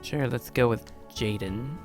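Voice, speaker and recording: A man's voice: medium pitch, unhurried, faint music behind. A man in a casual let's play style, reading a visual novel aloud informally and stumbling now and then. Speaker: gaming streamer.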